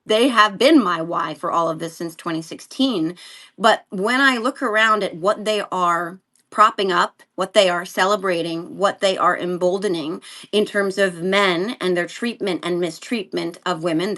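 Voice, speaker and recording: A woman's voice: on the low side, normal speed, sharp tone. A middle-aged American woman talking candidly as a talk show guest, emphatic and repeating phrases to drive points home. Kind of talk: candid political interview chat.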